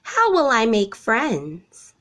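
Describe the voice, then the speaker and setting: A woman's voice: a little high, wildly swooping pitch, slow, a bit bright, voice pushed up, full character voice. A woman voicing a small young male elephant in a storybook, earnest and childlike, hopeful but lonely. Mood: anxious. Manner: earnest, childlike pleading and wondering.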